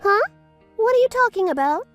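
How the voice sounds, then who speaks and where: A woman's voice: very high voice, animated, brisk, fairly bright tone, soft background music. A woman playing a haughty, scheming schoolteacher in an anime-style comic dub, theatrical, flustered and pleading. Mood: indignant panic. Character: haughty, scheming female schoolteacher desperate for a rich fiancé.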